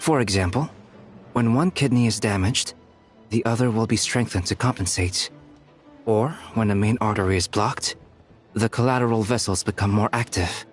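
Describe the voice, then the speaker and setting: An actor playing a composed, clinical scholar in a video game, lecturing in a formal, methodical way. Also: male voice, lowish voice, moderate pace, soft background music, lightly acted. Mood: calm, didactic.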